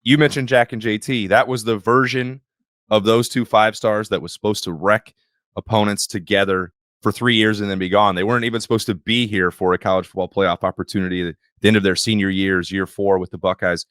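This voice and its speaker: A man hosting a sports podcast, slightly hoarse, talking in a wry, opinionated way. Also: on the low side, steady tone, brisk, a bit bright, no echo, clean studio sound. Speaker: podcast host.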